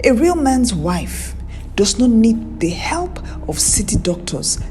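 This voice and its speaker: Jeering village man, voiced by a woman, expressive. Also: a little high, takes it slowly, a little hiss or hum. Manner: jeering, contemptuous. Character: mocking village men, jeering and taunting.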